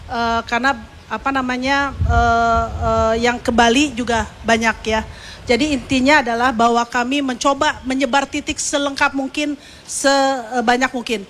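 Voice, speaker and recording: A woman delivers a semi-formal speech at a ceremony. She speaks off the cuff, pausing and repeating herself as she looks for words. Light ambient noise from the live event sits behind her. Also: fairly high pitch, fairly even intonation, a bit bright. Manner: halting, searching for words with repeats and pauses. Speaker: media executive giving a speech at a send-off ceremony.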